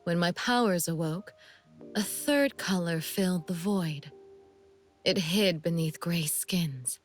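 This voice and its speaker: A woman playing a sly, menacing young female assassin in a video game, speaking in a teasing, deliberate manner. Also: on the low side, faint music behind. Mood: wistful. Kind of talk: scripted game character monologue.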